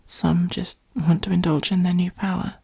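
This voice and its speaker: Woman playing a gentle, creaky, whispering vampire. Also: lowish voice, flat delivery, moderate pace. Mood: soothing, concerned. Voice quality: breathy, creaky. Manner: soft, breathy whispering, patient and reassuring. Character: gentle, protective adult vampire caring for a fledgling. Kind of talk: whispered comforting ASMR roleplay.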